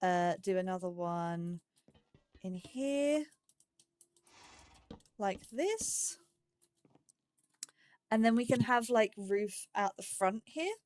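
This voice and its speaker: A woman hosting a slow game series, chatting to herself as she builds, with phrasing and startled yelps when danger appears. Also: mid-range voice, animated, a little mellow. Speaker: let's play host. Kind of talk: casual gameplay commentary.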